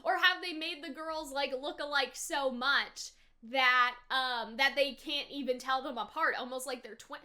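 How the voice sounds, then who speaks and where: A woman giving casual, unscripted reaction commentary in a chatty, expressive way, often saying "like" and "right?". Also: sits high, measured pace. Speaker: host of an anime reaction and review.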